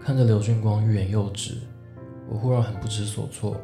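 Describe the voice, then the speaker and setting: Guy acting a young taiwanese boyfriend, flustered n sulky but sweet, audio drama w soft music under. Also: lowish voice, fairly even intonation, fairly quick, roomy sound, close to an everyday voice. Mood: tender, reflective. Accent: Taiwanese Mandarin.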